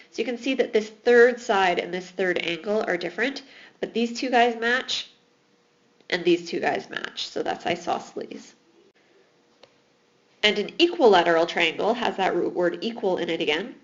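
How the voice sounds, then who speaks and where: A woman's voice: mid-range voice, lo-fi sound. A woman teaching math in a recorded lesson, explaining patiently while losing her voice. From Alberta, Canada.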